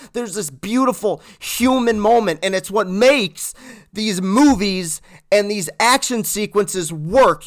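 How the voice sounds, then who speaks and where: A man reviewing a film in a casual, excited, rambling storytelling way, swearing freely as he goes. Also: sits high. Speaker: movie reviewer.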